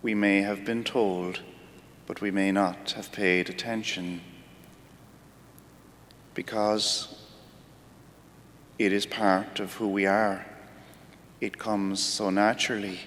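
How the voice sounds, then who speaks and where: A man with an Irish accent gives a homily at a weekday Mass. He speaks slowly in a low, even voice, measured and reflective. His words ring out with a long church reverb over a faint background rumble. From Ireland. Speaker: priest giving a homily.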